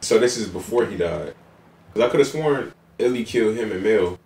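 A young man talks loudly and casually as he reacts, thinking out loud in a deep voice. The sound is roomy and a little distant, as if the mic sits back from him, with faint noise underneath. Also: animated, normal speed. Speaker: reaction video host. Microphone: headset.

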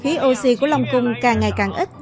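A woman reading all the characters' dialogue in a dub of a children's animated fantasy. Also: on the high side, quick pace. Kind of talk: dubbed narration of a children's animated fantasy, voicing every character. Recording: music playing behind the voice. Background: music.

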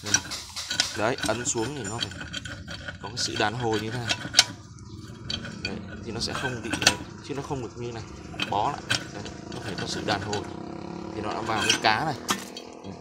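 Low-voiced Northern Vietnamese man, noisy clatter. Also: fairly even intonation. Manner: halting, repeats words while handling parts. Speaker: machine seller demonstrating grinder parts. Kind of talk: informal product demonstration, hands-on explanation. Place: hard concrete floor workspace. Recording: loud clanking metal noise, handheld phone. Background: metal parts clinking and clattering.

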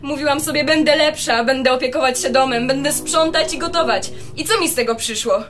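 A woman's voice: high-pitched, fairly quick, fairly bright tone, soft background music. A woman playing a bitter, indignant long-married wife in a radio play, dramatic and affronted. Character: indignant, bitter wife of twenty years, a suspect. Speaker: amateur radio drama actor.

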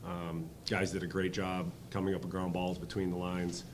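A man in his thirties, a head coach answering postgame press questions at a table mic, halting with many ums and uhs. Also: sits low, flat delivery, background noise. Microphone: table mic on stand.

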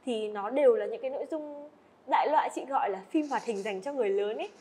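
Quick, breathy woman podcast host. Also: fairly high pitch, mellow. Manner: friendly, frequent listening "ừ" and laughs. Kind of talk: podcast interview. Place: indoor studio set.

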